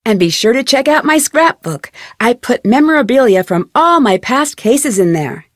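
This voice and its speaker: A woman's voice: on the high side, sing-song, moderate pace, no echo, studio quality. A woman playing a curious, friendly young amateur detective in a video game, with upbeat, conversational scripted delivery. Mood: friendly, instructive. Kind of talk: scripted video game dialogue and tutorial narration.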